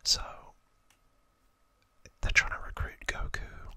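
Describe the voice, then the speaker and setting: A host whispering softly and haltingly close to the microphone, explaining trivia informally in an ASMR style. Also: dry recording. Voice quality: soft unvoiced whisper.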